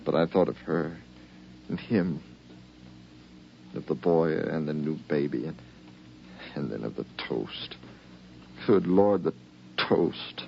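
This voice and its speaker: An actor playing a troubled, earnest young soldier son home from battle, in the halting, brooding style of 1950s radio drama. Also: male voice, very deep voice, vocal fry, full character voice. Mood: anguished. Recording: dull, noisy 1950s radio recording.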